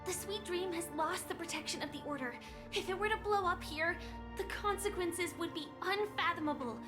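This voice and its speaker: A woman playing a gentle, resolute young warrior in an anime game, speaking earnestly and solemnly in a measured way. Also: very high voice, over music. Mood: grave, urgent.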